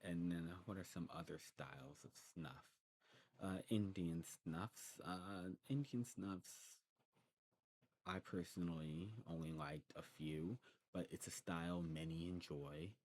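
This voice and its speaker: A very deep male demon played by a man tends his shop in an ASMR roleplay. He explains his wares patiently and fondly, wandering and pausing as he goes. Noticeable noise sits behind the voice. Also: darkish tone. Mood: musing, rambling. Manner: rambling, hesitant, explanatory. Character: male demon snuff shopkeeper, knowledgeable, fond, patient. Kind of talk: ASMR roleplay, rambling product talk. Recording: clearly noisy background.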